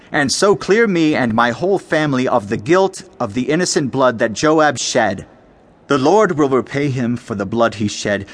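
A man's voice: mid-range voice, close to an everyday voice. A man acting a stern, commanding young king. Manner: emphatic, solemn, declamatory. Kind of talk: dramatized scripture audiobook acting.

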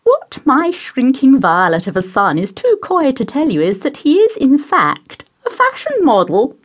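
Shrill, squealing posh mother, meddlesome and gushing; very high and swooping. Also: huge pitch swings, a little slow, slightly rough, exaggerated voice. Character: gushing meddling upper-class mother, middle-aged.

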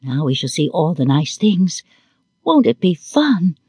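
A man voicing an eager, bossy, quick-tempered little girl in a children's storybook. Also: on the high side, very animated, a little mellow, slightly rough, dry recording, voice pushed up, full character voice.

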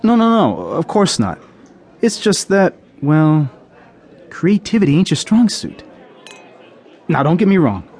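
An actor playing an excitable male jazz drummer in an audio drama, quick and easily amazed. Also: a little high, husky, heavily acted. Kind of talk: radio drama acting.

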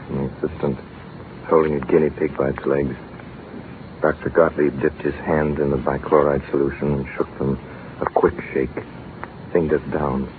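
An actor playing an earnest, ambitious male scientist in a 1930s radio drama, solemn and measured, on a narrow old recording. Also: rumbling low. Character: earnest, ambitious male scientist recalling his student years. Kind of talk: 1930s radio drama acting, dramatic first-person narration.

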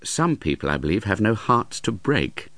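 An earnest young gentleman of nineteen, acted by a male reader in a storybook. He speaks proudly and bluntly in a raised, very animated voice with a dry sound. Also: on the low side, normal speed, no echo, pitched above the performer's own voice. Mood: quietly defiant. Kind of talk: dramatized storybook reading.

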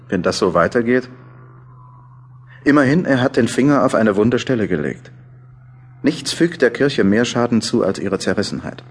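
A man's voice: on the low side, a little hiss or hum, natural voice. A man playing a thoughtful, mildly doubtful journalist who muses and questions himself over his diary in a German radio play. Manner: musing, self-questioning.

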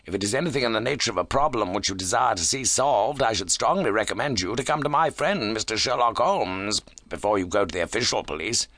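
A man voicing a kindly, brisk gentleman doctor in an audiobook, courteous and concerned. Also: on the low side, animated, dry recording. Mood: advising. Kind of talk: audiobook character voice.

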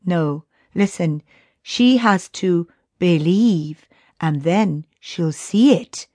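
A woman's voice: lowish voice, lively intonation, drawn-out words, darkish tone, dry recording, exaggerated voice. A woman voicing a bossy, sensible young boy who leads his friends in a children's audiobook. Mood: earnest.

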